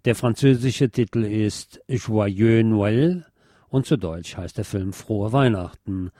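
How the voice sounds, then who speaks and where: A man's voice: lowish voice, slow, dark tone, no room sound, studio quality. A man hosting a radio show, presenting a film review in a semi-scripted narrating style.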